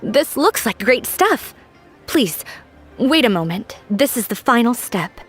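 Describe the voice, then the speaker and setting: Gentle lantern maker in a game, bit high, soft music behind. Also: female voice, big pitch swings, takes it slowly, breathy edge, full character voice. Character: gentle young female lantern artisan. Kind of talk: video game voice acting.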